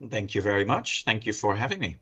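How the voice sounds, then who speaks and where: A man in his fifties with a Dutch accent speaks as a professional guest on a webinar. His voice is fairly low yet forward and bright. The delivery is conversational. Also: very animated, fast talker, breathy edge. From Netherlands. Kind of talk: conversational self-introduction.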